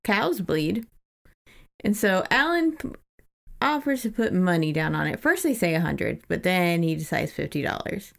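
A woman's voice: lowish voice, sing-song, moderate pace, vocal fry. A woman co-hosting a podcast, chatting casually about a book. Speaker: podcast co-host.

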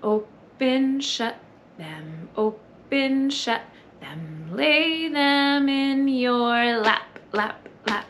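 A woman in her thirties hosting a children's story time, reading and singing expressively with hushed, breathy asides. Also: on the high side, expressive, extremely slow. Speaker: library story time host. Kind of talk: children's story time read-aloud and sing-along. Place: children's library room, indoors. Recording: noticeable background room noise.

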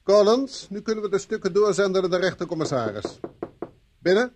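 An actor playing a stern, impatient police inspector in a radio play, brisk and authoritative. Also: male voice, high-pitched, lively intonation, a little mellow, a little hiss or hum. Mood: exasperated, pressing.

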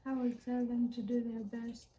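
A young female student from Kenya giving measured advice, filmed in a study lounge. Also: on the high side, completely flat delivery, warm tone, low-quality recording. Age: young adult. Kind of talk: casual interview answer.

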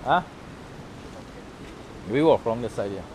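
A man's voice: mid-range voice, slow. Trinidadian man with surf noise behind. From Trinidad and Tobago. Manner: relaxed, chatty, Creole phrasing. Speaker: vlogger. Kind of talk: casual outdoor vlog narration. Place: outdoors by the sea, windy. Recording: wind and surf noise on a camera mic.

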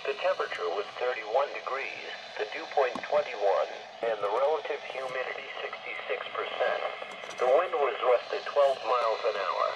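A synthetic man's voice reading a scripted weather forecast in a measured, formal way, played through a small radio speaker. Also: mid-range voice, dull audio. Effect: synthetic text-to-speech voice played through a small radio speaker. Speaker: automated weather radio announcer. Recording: noisy camera capture of radio speaker. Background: clear background noise.

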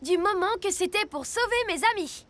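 A woman voicing an earnest, excitable young boy cat superhero in a dramatic, exclamatory cartoon dub style. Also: extremely high pitch, moderate pace. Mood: earnest resolve. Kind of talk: animated cartoon dub acting. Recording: music behind the voice. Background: cartoon score.

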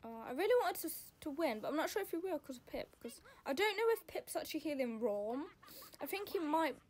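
A young woman casually commenting on her gameplay, rambling and self-deprecating, with loud breathing between sentences and a slightly croaky voice. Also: high-pitched, lively intonation, a little fast. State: voice going croaky. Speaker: gaming channel host. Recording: game music behind voice.

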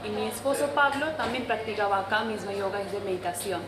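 A woman's voice: medium pitch, quick pace, a crowd in the background. A woman in her forties from India with an Indian accent, speaking in an interview. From Delhi, India. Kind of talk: interview talk. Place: indoors in a restaurant. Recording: echoey room with background noise.

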